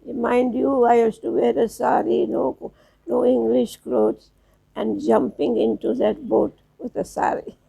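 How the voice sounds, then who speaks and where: A woman in her nineties from South Africa, reminiscing slowly as an interview guest, with halting restarts. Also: a little high, flat delivery, dark tone, poor audio. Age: 90s. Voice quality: gravelly, husky. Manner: slow, halting storytelling with restarts.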